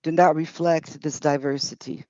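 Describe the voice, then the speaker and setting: A woman's voice: low voice, steady tone, moderate pace, warm tone, no echo. A woman interpreting at a public hearing in short, hesitant, piecemeal phrases. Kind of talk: halting consecutive interpretation. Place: committee hearing. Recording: dull, muffled audio.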